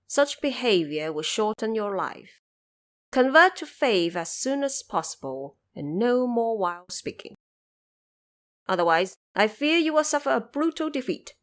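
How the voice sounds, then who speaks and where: A woman voicing a lofty male Buddha, solemn, measured and stern, in an audio drama. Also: medium pitch, fairly bright tone. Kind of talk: audio drama character acting.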